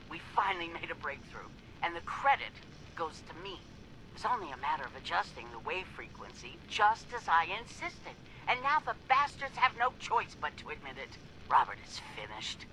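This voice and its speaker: An actress playing an arrogant, ruthless, zealous scientist in a video game audio log, with theatrical, deliberate enunciation. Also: female voice, fairly low pitch, sing-song, pushed and bright, a little hiss or hum, poor audio. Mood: gloating, exultant.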